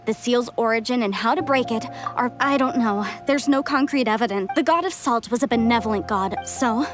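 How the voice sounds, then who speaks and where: A woman's voice: a little high, a little fast, over music, close to an everyday voice. A woman voicing an earnest young female researcher in a video game, explanatory and easily exasperated. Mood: earnest, explaining.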